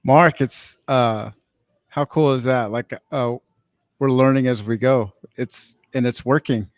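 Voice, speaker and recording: The upbeat guy running an online industry meetup, his voice crisp and close with no room around it, keeping things casual. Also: mid-range voice, fairly even intonation, unhurried, no room sound. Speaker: master of ceremonies of an online podcast summit. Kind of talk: casual live event hosting.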